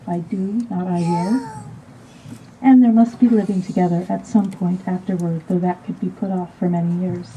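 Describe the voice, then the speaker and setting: A woman officiates an outdoor garden wedding, her voice lyrical and measured as she weaves in poetry and scripture. Her tone is very dark and mellow. She is picked up from a distance, with faint noise hanging in the open air. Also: medium pitch, muffled recording. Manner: lyrical, measured, warm toward the couple. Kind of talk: ceremonial address, poetic readings.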